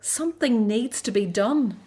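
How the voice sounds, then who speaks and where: A woman's voice: a little high, lively intonation, measured pace, dark tone. An actress in a radio drama playing an outspoken, frustrated Hebrew daughter, earnest and pointed as she frets for her family. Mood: worried.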